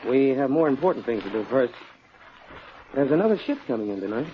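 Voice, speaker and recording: Man playing a smug gang boss, very animated. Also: mid-range voice, fairly quick, lightly acted. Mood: scheming. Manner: smug, commanding. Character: cool, calculating boss of a ship-wrecking gang. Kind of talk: 1940s radio crime drama acting. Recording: dull old radio recording, faint noise.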